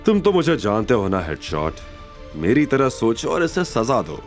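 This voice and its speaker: A man playing a stern, authoritative major with quiet menace, in an audio drama. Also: mid-range voice, very animated, moderate pace, soft background music. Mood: stern, menacing. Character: stern, commanding male major, protective and menacing. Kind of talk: Hindi audio drama acting.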